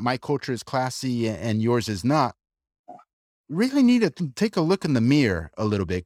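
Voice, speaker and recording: A man co-hosting a casual discussion, arguing his point in an animated way with quick asides and restarts. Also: medium pitch, no room sound, studio quality. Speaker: podcast co-host.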